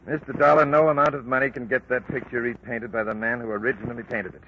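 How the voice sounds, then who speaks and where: A man's voice: medium pitch, steady tone, fast, lightly acted. A man playing a formal, long-winded art patron. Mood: earnest. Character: earnest middle-aged male art patron, fussy and proud. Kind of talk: 1940s radio acting. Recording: lo-fi old radio recording with hiss.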